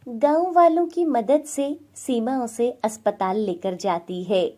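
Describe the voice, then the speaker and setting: A woman's voice: a little high, takes it slowly, dark tone. A woman narrating a scripted moral bedtime story as a storyteller.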